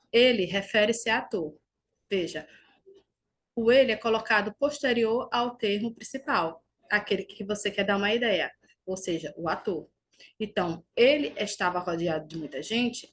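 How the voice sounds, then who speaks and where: A woman's voice: mid-range voice, fairly bright tone. A woman teacher, giving a recorded grammar lesson over slides and explaining step by step with small restarts.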